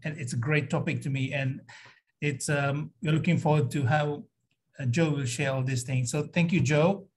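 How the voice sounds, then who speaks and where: A man moderating a talk, giving a semi-formal introduction of a guest speaker in a halting, hesitant way. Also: a little high, fairly even intonation, breathy edge.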